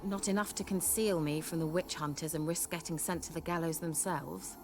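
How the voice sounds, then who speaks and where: An actress playing a vain, sharp-tongued sorceress in a video game, speaking in a haughty, wry, coaxing manner. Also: female voice, low-pitched, steady tone, brisk. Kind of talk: video game cutscene acting. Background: game soundtrack music.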